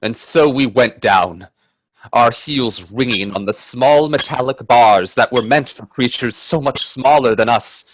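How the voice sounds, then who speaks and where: A man playing an earnest, uneasy gentleman adventurer in a radio play, telling his tale with theatrical, hesitant suspense. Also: medium pitch, measured pace, slight background noise, natural voice, poor audio. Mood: suspenseful, dread. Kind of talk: dramatic radio play narration and dialogue.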